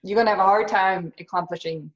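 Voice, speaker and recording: A woman's voice: medium pitch, fast. A woman podcast host whose first language is German, speaking in a thoughtful, slightly hesitant casual chat. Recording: dull lo-fi audio.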